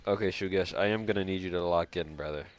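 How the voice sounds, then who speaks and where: Male game streamer, deep voice, audibly congested, casual and slangy, mutters phrases over again while playing. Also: quick pace, muffled recording. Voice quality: congested, stuffy nose. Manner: slangy, repeats phrases to himself. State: nasally congested, breathing through mouth. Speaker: gaming video host. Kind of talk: casual live gameplay commentary.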